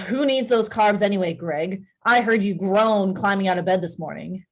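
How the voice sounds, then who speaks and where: A woman playing a blunt, exasperated, jealous wife with a husky voice in a screenplay table read. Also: mid-range voice, moderate pace, lightly acted. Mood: curt. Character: sharp, hurt, jealous wife, furious in crisis.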